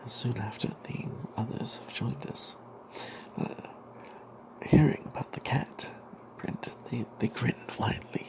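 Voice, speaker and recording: A man tells a tabletop fantasy adventure as an in-character narrator, in a breathy, hushed, secretive ASMR whisper with dramatic pauses. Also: medium pitch, fairly even intonation. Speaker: narrator telling the tale in character as a cleric.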